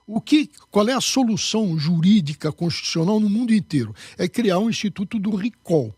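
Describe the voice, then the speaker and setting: A man's voice: high voice, big pitch swings, fairly quick, darkish tone, breathy edge, no echo, clean studio sound. A man, a candidate and jurist, speaking emphatically in a political interview. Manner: emphatic, lecturing.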